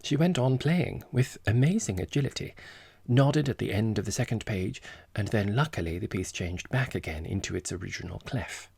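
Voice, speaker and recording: A man's voice: fairly low pitch, lively intonation, normal speed, vocal fry, a little mellow, slightly rough, dry recording. A man narrating a literary novel as an audiobook, reading from a script.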